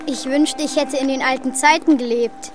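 Boy prince voiced by a woman, very high childlike voice, earnest and insistent, children's audio drama with music underneath. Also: steady tone, normal speed, noisy background, natural voice. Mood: hesitant, wistful. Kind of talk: children's radio play acting.

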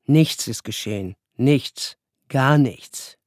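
A woman's voice: extremely low pitch, slow, a little mellow, dry recording, clean studio sound. A woman narrating a radio play in a dramatic, scripted style. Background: music.

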